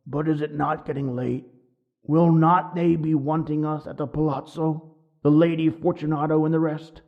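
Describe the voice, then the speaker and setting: A man voicing a doomed nobleman in a horror tale, halting and sad, feebly laughing off his fate in disbelief. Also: a little high, flat delivery, phone-quality sound, natural voice. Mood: weak pleading.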